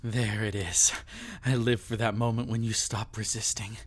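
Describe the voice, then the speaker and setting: A man's voice: on the low side, little pitch movement, normal speed, lightly acted. A man playing a sadistic, possessive vampire in intimate ASMR roleplay, theatrical and taunting, often dropping into a whisper. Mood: hungry, savoring. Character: sadistic, possessive male vampire toying with a captive.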